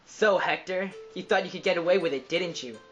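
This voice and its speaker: A vengeful young warrior of Greek myth, acted by a man, pitched slightly high for a male. He is merciless, in an amateur puppet-show style, with prominent music behind him. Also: fairly quick, dull audio, natural voice. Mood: gloating, vengeful.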